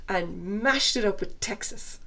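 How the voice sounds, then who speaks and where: A woman's voice: medium pitch, gravelly, fairly bright tone. A woman with an Irish accent, chatting casually as host of a live crafting stream. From Canada. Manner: chatty, quick asides and laughs. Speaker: livestream host. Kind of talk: casual chat.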